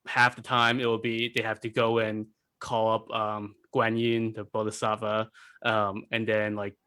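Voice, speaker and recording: Man in casual, halting discussion. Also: on the low side, monotone, sharp tone, smooth. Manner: halting, peppered with "like" and "you know". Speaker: podcast panelist.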